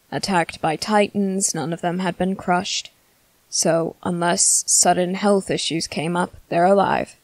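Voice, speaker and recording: A woman voicing a composed, menacing male vampire commander in an ASMR roleplay, speaking formally and deliberately with dramatic pauses. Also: fairly low pitch, a little mellow, no echo. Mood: grave, guarded. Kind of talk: ASMR roleplay monologue to the listener.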